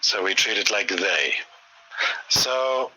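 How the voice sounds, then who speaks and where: A man in his forties from Ireland with an Irish accent, chatting casually and patiently slowing down to explain grammar. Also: fairly low pitch, lively intonation, sharp tone, husky, low-quality recording. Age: 40s. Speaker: conversation partner. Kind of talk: casual chat.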